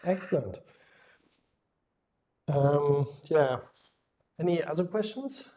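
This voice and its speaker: A man moderating an informal conference discussion, putting questions tentatively with pauses along the way. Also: a little high, expressive, mellow, slight background noise. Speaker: session moderator.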